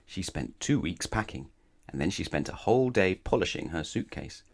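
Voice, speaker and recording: A man reading a children's bedtime story aloud as narrator, expressively, with dramatic pauses and questions to the listener. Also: deep voice, expressive.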